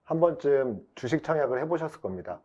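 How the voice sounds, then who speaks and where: A man's voice: on the high side, animated, fast, dark tone, dull audio. A man giving a formal, explanatory prepared webinar presentation as an IT specialist.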